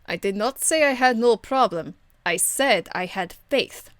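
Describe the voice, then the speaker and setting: A woman voicing a stern, dignified noblewoman warrior of faith for an amateur game voice demo, speaking in a formal, measured, earnest way. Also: a little high, lively intonation, dry recording. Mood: firm.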